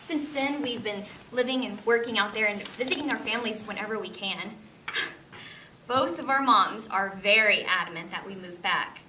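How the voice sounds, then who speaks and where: A woman on stage playing an inquisitive, chatty, earnest young woman, recorded in an echoey space. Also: medium pitch. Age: young adult. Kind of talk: amateur stage play dialogue and monologue. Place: indoor stage performance, recorded from off. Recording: echoey distant stage recording, loud noise.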